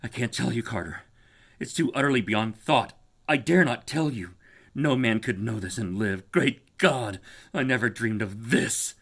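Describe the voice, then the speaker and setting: A man voicing a terrified, doomed explorer in a horror story, pleading in urgent, quavering, hoarse exclamations. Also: mid-range voice, expressive, measured pace, fairly bright tone. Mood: horrified awe. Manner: breathless short exclamations and pleas. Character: terrified doomed man calling up from a tomb by telephone.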